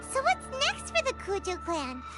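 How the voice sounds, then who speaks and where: A woman voicing a tiny, cheeky, curious childlike fairy companion in a video game, with a high squeaky voice. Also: wildly swooping pitch, unhurried, heavily acted. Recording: music behind the voice.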